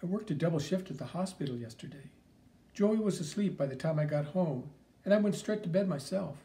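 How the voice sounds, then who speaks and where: A man's voice: a little high, a little fast, far from the mic. A man reading an audiobook, voicing a tired mother who is worn out after a double shift at the hospital. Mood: weary. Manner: weary, flat, worn out.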